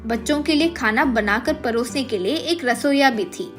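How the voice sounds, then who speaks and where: A woman's voice: sits high, moderate pace, soft background music. A woman reading a scripted moral story as its narrator.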